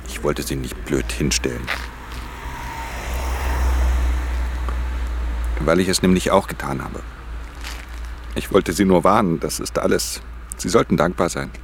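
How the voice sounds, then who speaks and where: An actor playing a weary, watchful pickpocket who narrates a radio crime drama in a measured, introspective way. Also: male voice, low-pitched, creaky. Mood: discreet, wary. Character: male professional pickpocket, haunted, watchful, weary loner.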